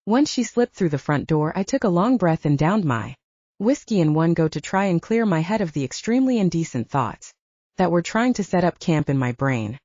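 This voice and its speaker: Female audiobook narrator, slightly low and mellow, scripted fiction read, close and dry. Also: lively intonation, normal speed, no echo, muffled recording.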